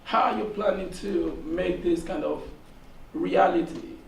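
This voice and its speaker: A hoarse man from Nigeria asks a long, earnest question from the audience, halting with many fillers. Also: sits high. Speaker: audience member asking a question. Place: seminar room. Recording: echoey room, distant, faint noise.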